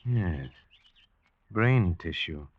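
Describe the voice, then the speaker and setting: Very low-voiced, thoughtful investigator. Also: male voice, animated, very slow, dry recording, exaggerated voice. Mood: pondering. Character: thoughtful, analytical male investigator narrating his tale. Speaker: actor narrating a radio drama. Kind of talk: old-time radio acting and narration. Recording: dull old radio recording, music behind.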